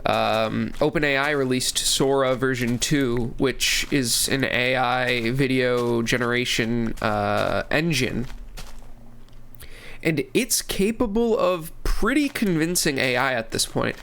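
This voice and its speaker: Young male host, stuffy from a cold, loose rambling game commentary, average pitch, light background hiss. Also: slow pace, vocal fry, fairly bright tone. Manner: rambling, restarts phrases, many fillers. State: congested from a cold. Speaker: gaming channel host. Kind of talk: casual commentary while playing.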